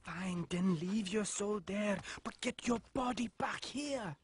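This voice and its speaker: An actor playing an eager, earnest young believer in a cartoon, speaking urgently and excitably. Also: male voice, sits high, takes it slowly, a little hiss or hum.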